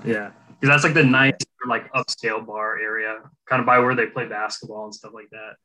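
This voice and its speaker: A man's voice: on the low side, fairly even intonation, moderate pace, bright, forceful tone, poor audio. A man interviewing a guest, chatting casually and asking curious follow-up questions.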